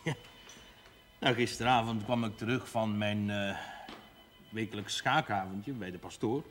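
A man's voice: on the low side, unhurried, a bit bright, faint music behind. A man acting a gossipy villager in a period television drama, chatty and relishing his scandalous tale. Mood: amused gossip. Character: gossipy village regular, amused and scandalised storyteller.

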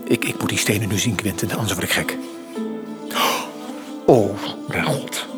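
An actor playing an anxious, wry middle-aged former minister in a radio drama, fretful and sardonic. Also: male voice, on the low side, normal speed, music in the background, lightly acted.

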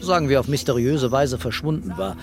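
An actor playing an unconventional police detective in a radio play, terse and understated but quietly in command. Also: male voice, medium pitch, brisk, lightly acted. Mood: commanding, sure. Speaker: police commissioner in a crime drama. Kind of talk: naturalistic German radio play acting. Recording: music bed under studio dialogue.

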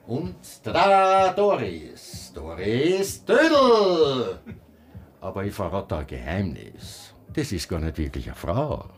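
An actor playing a playful, showy friend in a radio drama, speaking with an Austrian accent. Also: male voice, lowish voice, wildly swooping pitch, slow pace, heavily acted.

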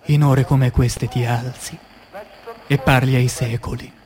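Slow, solemn man reciting poetry. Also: mid-range voice, flat delivery, a little mellow. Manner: halting, solemn, declamatory, with deliberate pauses. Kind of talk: slow dramatic poetry recitation for radio theatre.

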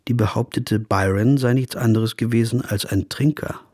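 An actor playing an educated, reserved gentleman traveller with a measured, thoughtful manner in a radio play. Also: medium pitch, fairly even intonation, lightly acted. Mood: detached reflective.

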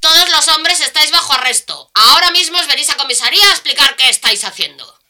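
Woman doing stern lady cop barking orders, really piercing high fast voice. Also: animated, bright, forceful tone, soft background music, heavily acted. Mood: stern, commanding. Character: stern, commanding female police officer making arrests. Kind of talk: scripted audio drama acting.

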